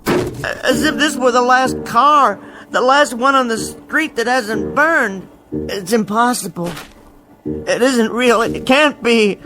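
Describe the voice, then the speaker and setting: An actor playing a meek, bookish bank clerk who talks to himself in an old-time radio drama style. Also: male voice, extremely high pitch, heavily acted. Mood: dazed, hopeless. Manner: talks to himself, halting repetitions when shaken. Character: meek bookish male bank clerk, dazed lone survivor. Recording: radio drama with music underneath.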